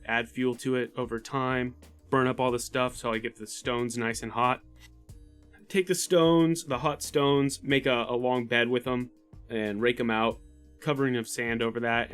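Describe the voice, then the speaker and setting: Man, medium pitch, tabletop player, detailed casual narration, explanatory, light music behind. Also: fairly bright tone, smooth. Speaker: player in a tabletop game podcast. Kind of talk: casual descriptive roleplay narration.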